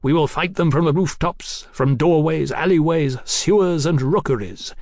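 A man's voice: on the high side, moderate pace, vocal fry, darkish tone, no echo, clean studio sound, pitched above the performer's own voice. A man voicing a zealous young revolutionary for an audiobook, haughty and grandiose, declaiming like an orator. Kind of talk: audiobook character acting.

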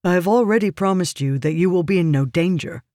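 A woman's voice: lowish voice, brisk, mellow, no room sound, clean studio sound, voice pushed up, natural voice. A woman voicing an earnest, protective nobleman with a concerned manner in an audiobook. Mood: earnest, reassuring. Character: earnest, honorable, protective young lord. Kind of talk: audiobook character dialogue.

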